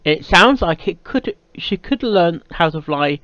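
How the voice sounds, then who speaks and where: High-voiced, animated British man reacting. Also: no room sound. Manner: halting, repeats words and phrases. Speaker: reaction video host. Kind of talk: casual off-the-cuff reaction commentary. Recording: dull lo-fi microphone sound.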